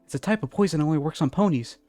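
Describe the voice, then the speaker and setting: A man's voice: on the high side, very fast, no room sound, soft background music, voice pushed up, close to an everyday voice. A man voicing a young pony prince, a playful, cheeky, scheming little boy in a storybook reading. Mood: sly. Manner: excitable, cheeky, childlike.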